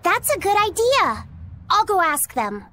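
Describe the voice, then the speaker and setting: A woman's voice: very high voice, big pitch swings, measured pace, pushed and bright, slight background noise, exaggerated voice. A woman playing a shy, caring young boy spirit in a video game. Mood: mild. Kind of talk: English game voice acting.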